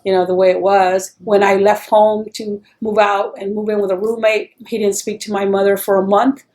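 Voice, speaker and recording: A woman's voice: medium pitch, flat delivery, moderate pace, darkish tone. A woman from El Paso, Texas, talking in an oral history interview in a chatty, rambling way, full of restarts.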